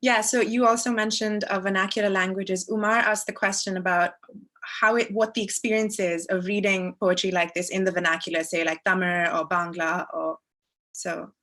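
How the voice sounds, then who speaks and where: A woman moderates a seminar, relaying viewer questions in casual, hesitant speech. Her voice sits at an ordinary middle pitch. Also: flat delivery, normal speed, fairly bright tone.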